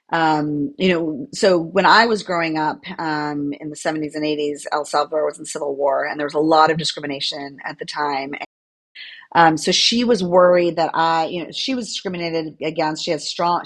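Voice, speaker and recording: Woman, 50s, Spanish native speaker, US-raised, lowish voice, reflective podcast guest. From United States. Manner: reflective, many fillers and restarts. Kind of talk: podcast interview.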